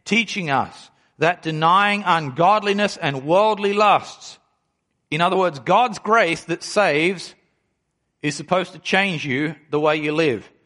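High-voiced preaching man in his forties. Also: bright, forceful tone. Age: 40s. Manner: emphatic preaching, slows down to stress key phrases; reads scripture aloud and explains it. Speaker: pastor. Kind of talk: sermon.

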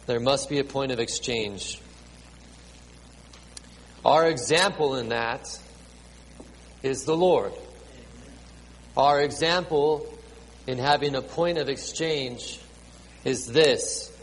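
Man preaching slowly in a reverberant hall. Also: mid-range voice. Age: 30s. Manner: halting, repeats words and fills with ums. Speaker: pastor. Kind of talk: sermon to a congregation with children. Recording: reverberant hall, faint ambient noise.

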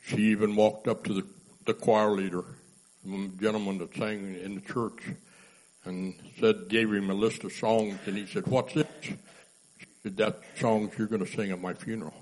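A man's voice: fairly low pitch, steady tone, moderate pace, a little mellow, rough recording. A man earnestly giving a testimony at a church service. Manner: earnest, emotional, praising.

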